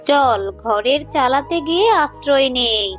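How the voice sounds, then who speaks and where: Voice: high-pitched, unhurried, fairly bright tone, faint music behind. A performer voicing a worried, protective mother fox in a children's cartoon, speaking in a fretful, tender way. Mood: exasperated, fretful.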